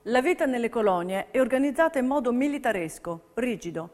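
A woman narrating scripted documentary theatre in a solemn, measured way. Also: fairly high pitch, animated, darkish tone. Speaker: stage narrator.

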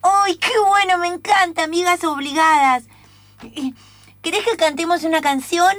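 A high, childlike voice for a needy seven-year-old birthday girl. She chatters and pleads whinily in Rioplatense Argentine Spanish style, as in a radio comedy. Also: normal speed, fairly bright tone, no room sound. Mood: excited. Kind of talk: comedic radio play acting.

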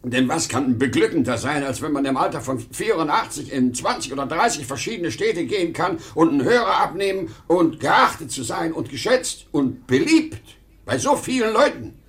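A man's voice: fairly high pitch, sing-song, fairly bright tone. Desperate aging salesman, sweeping intonation. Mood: nostalgic, fervent. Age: older. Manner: emotional, halting when pleading, repeating himself. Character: desperate aging travelling salesman, proud, nostalgic, pleading. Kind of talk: 1950s German radio play acting.

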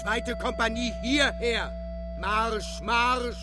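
Commander with high, carrying shouts. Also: male voice, steady tone, slow, music in the background. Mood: commanding. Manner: shouted drill commands, clipped phrases. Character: male military commander calling his company to march. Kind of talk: German radio drama acting.

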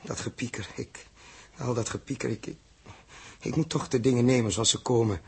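An actor playing a troubled, uneasy man who mutters haltingly and repeats himself, in a 1960s radio drama. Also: mid-range voice, a little mellow, husky. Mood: brooding, hesitant. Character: troubled, hesitant grown man Joost, uneasy space traveller. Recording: muffled-voiced old 1960s radio recording.

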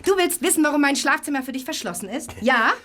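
An actress playing a cold, scornful young wife in a radio drama, with a sharp, contemptuous way of talking. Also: female voice, high voice, lively intonation, fast talker. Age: 20s.

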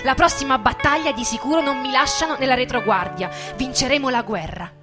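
A woman playing an eager, defiant sixteen-year-old girl militia fighter in an excited, colloquial stage monologue. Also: high voice, fairly quick, over music, natural voice. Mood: defiant, confiding. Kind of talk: theatrical dramatic monologue.

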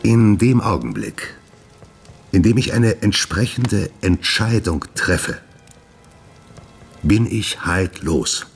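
An actor playing a troubled, secretive gentleman scientist in a German radio play, urbane, condescending and evasive. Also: lowish voice, very animated, slow, pauses often, husky, soft background music, heavily acted. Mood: earnest, subdued.

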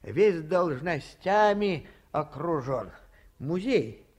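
A man's voice: a little high, animated, drawn-out words, heavily acted. An actor playing a garrulous, emotional old provincial man in a 1950s radio play, with folksy old-fashioned speech. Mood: boastful. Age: older. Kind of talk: 1950s radio drama acting.

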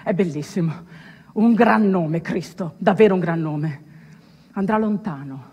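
A woman acting out an excitable, rough-mouthed old sailor in a stage monologue, with emphatic, drawn-out exclamations. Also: lowish voice. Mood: proud, delighted. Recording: echoey reverberant hall, music underneath.

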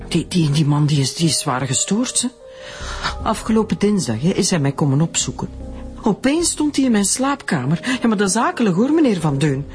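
An actress with a Flemish accent playing an anxious, middle-aged schoolteacher suspected of murder, protesting in a radio drama. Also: female voice, fairly low pitch, very animated, a little fast, darkish tone, faint music behind. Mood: distressed, insistent. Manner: agitated, protesting.

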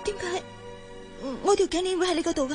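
A woman's voice: extremely high pitch, steady tone, quick pace, over loud music. A woman acting in a radio drama as a sad young housemaid with a Hong Kong accent, guarded and hesitant as she hides her heartache. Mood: agitated, hurt.

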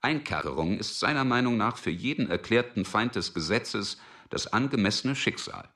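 Very deep-voiced male narrator. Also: expressive, normal speed, a bit bright. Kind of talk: scripted narration of a radio drama.

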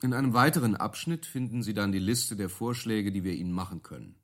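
A man's voice: on the low side, animated, a little fast, a bit bright. An actor playing a formal, polished male presenter giving a prepared business presentation. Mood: businesslike. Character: formal male presenter addressing clients at a meeting.

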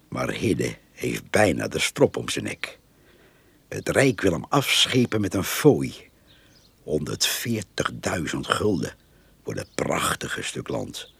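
An actor in a radio play playing a grumbling, plain-spoken and suspicious farmer with a gravelly, husky voice. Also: male voice, rumbling low, fairly bright tone, no echo, heavily acted.

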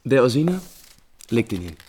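A man playing an impulsive, refined, lovesick prince in 1950s German radio drama, theatrically shifting between musing and brisk command. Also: medium pitch, big pitch swings, darkish tone, a little hiss or hum, natural voice. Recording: 1950s radio recording with music behind.

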